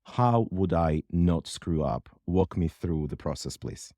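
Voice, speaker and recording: A man's voice: sits low, expressive, slow pace, dark tone, no room sound, clean studio sound. A man hosting an interview podcast, giving prepared introductions and questions to a guest.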